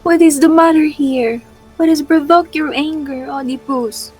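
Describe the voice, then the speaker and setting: Woman as peacemaking ancient queen, high voice, measured pace, formal, stage tragedy. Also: fairly even intonation, darkish tone, in a large hall, soft background music. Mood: concerned. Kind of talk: stage tragedy, readers' theatre.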